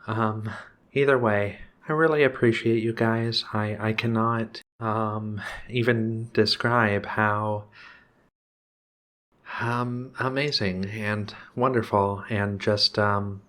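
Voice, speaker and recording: ASMR host, male, low register, very unhurried, soft-spoken and halting, making channel announcements. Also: steady tone, slight background noise. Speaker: ASMR video creator and host.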